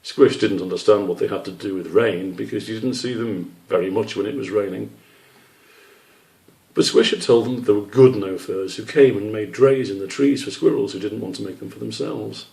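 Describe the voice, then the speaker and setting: Low gravelly male storyteller in an echoey room. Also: moderate pace, darkish tone. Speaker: author reading his children's book. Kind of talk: storybook narration. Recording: roomy echo, distant microphone.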